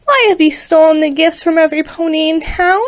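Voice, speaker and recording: A woman voicing a kind, earnest little girl pony who pleads in a high, sweet voice. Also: steady tone, a little fast, no room sound.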